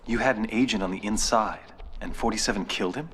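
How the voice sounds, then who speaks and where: An actor playing a probing, sceptical journalist in a video game, questioning and incredulous. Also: male voice, on the low side, quick pace, a bit bright, slight background noise, lightly acted. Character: probing, sceptical male journalist conducting an interview. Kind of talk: video game cutscene acting.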